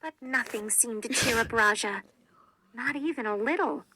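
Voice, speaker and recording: A woman narrating a children's read-along story in a deliberate, expressive storytelling manner. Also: a little high, slight background noise, low-quality recording.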